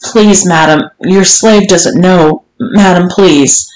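A woman's voice: on the low side, slow, a little mellow. A woman voicing a meek, submissive, pleading man in an audiobook, hesitant and deferential. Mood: submissive, strained. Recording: roomy, echoing room sound.

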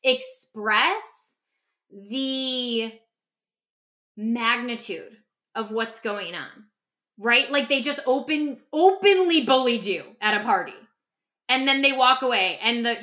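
A woman speaking emphatically and conversationally as a podcast guest, explaining self-help ideas in an animated way. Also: a little high, slow. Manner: emphatic, acts out example dialogue. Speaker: podcast guest and author discussing their own book.

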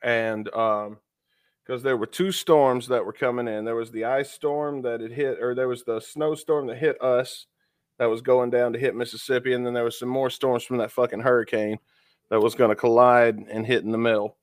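A man's voice: medium pitch, fairly even intonation, moderate pace, dry recording. A man co-hosting a podcast, chatting casually and profanely with many fillers, sounding stuffy and sniffly from being sick. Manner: loose and profane, lots of fillers. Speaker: podcast co-host.

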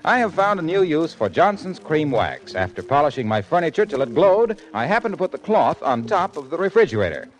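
A man's voice: on the high side, very animated, a little fast, few pauses, faint music behind, low-quality recording. An American man announcing scripted 1940s radio commercials in a polished, formal, salesmanlike way.

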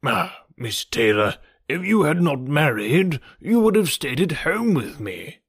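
A man voicing a gentle, fretful old gentleman, an anxious father in a classic novel, with a fussy, mournful, deliberate manner. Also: medium pitch, sing-song, gravelly, slightly rough, dry recording, clean studio sound, full character voice. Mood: gentle reproach. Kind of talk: audiobook character voice from a classic novel.

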